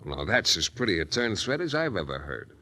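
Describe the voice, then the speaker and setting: A man's voice: deep voice, very animated, fairly quick, pushed and bright, heavily acted. An American man playing a wisecracking, hard-boiled private detective in 1940s radio style, wry, breezy and slangy. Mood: cool defiance. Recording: noisy 1940s radio transcription recording.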